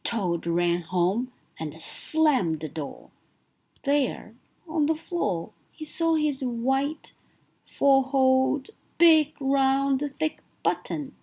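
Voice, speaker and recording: A woman reads a children's picture book aloud at an unhurried pace, and her pitch rises and falls widely for young listeners. Every line comes out clear and deliberate. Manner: slow, clear and expressive storytelling. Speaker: children's story reader.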